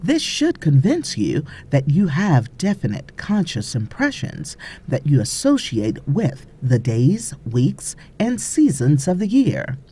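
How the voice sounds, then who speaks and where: A woman narrating an audiobook from a script in a measured, deliberate, instructive way, pausing between phrases. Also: low-pitched, wildly swooping pitch, warm tone, slight background noise.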